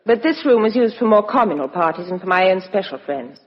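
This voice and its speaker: An actress playing a cool, outspoken, sharp-minded young Englishwoman in a 1950s radio drama. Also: medium pitch, animated, fairly quick, natural voice. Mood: speculating. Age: young adult. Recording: muffled vintage radio broadcast, reverberant studio.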